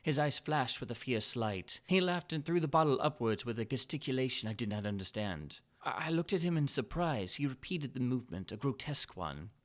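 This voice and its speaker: A man's voice: mid-range voice, animated, a little fast, hardly any pauses. A man narrating a classic Gothic tale as a dramatic reading, in a theatrical, formal manner. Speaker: amateur reader of classic tales.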